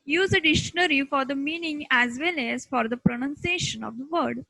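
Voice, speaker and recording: A woman with an Indian accent giving a scripted lesson as an instructor, speaking deliberately in short, halting chunks. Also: high-pitched, gravelly, sharp tone, dry recording.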